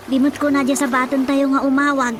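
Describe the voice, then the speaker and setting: A woman playing a suspicious, nagging, excitable relative with an Ilocano accent in a radio comedy drama. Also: high voice, fairly quick, background noise, close to an everyday voice. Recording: music behind the voice.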